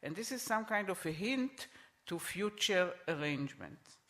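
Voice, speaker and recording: A middle-aged man speaking on a conference panel, emphatic and repeating his points, with halting restarts. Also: high voice, animated, fairly bright tone. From Israel.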